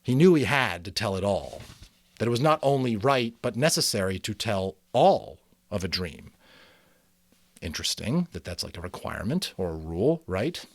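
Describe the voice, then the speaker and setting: Low-pitched man, expressive amateur narrator, dry sound. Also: moderate pace, no echo. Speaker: amateur audiobook narrator and channel host. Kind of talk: dramatic storybook reading with casual asides.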